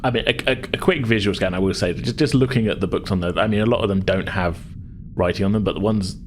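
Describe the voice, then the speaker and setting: A man's voice: fairly low pitch, quick pace, slight background noise. A man speaking as game master, improvising tabletop narration and chatting casually in a hesitant way.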